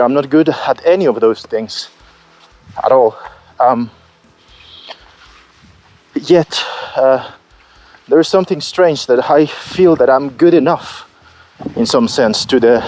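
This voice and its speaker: Slow, hesitant man musing aloud. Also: medium pitch, darkish tone. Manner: hesitant, halting, many fillers and restarts; candid and self-critical, with occasional swearing. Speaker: solo musician vlogging his album process. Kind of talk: unscripted reflective vlog.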